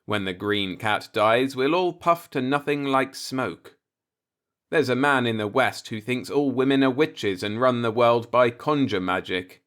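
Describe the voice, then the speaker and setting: A man's voice: medium pitch, a little slow, a bit bright, clean tone, lightly acted. A man voicing a cynical, musing older working-class man, a self-taught professor, in a folksy, rhetorical audiobook monologue.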